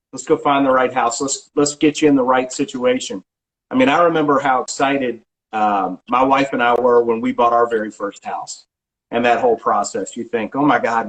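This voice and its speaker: A middle-aged man working as a real estate agent, answering interview questions in a casual, halting way with frequent restarts and filler sounds. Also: mid-range voice, rough recording. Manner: halting, many restarts and ums.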